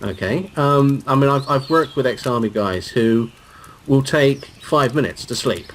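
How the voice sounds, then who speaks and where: A man co-commentating on a game in casual chat, playful and a little halting as he explains. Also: medium pitch, a bit bright. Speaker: guest co-commentator. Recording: noisy, game birdsong behind the voice.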